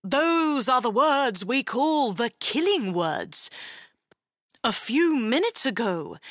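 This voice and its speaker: A woman plays a tiny, earnest knight from a children's fairy tale. The delivery is gallant and solemn, with flustered moments, very animated intonation and a measured pace, in a close, dry recording. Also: a little high, dry recording, exaggerated voice.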